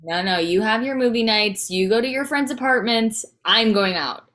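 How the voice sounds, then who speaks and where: A woman's voice: fairly high pitch, moderate pace, a bit bright, lightly acted. A woman playing a frazzled, firm young wife and mother of toddlers in a comedy table read, speaking in a dry, weary conversational way.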